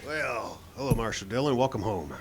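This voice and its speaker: An actor playing a genial, easygoing saloon bartender in a live old time radio western. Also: male voice, medium pitch, moderate pace, lightly acted. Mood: welcoming. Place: live show in a park. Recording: live outdoor stage, noisy with clicks.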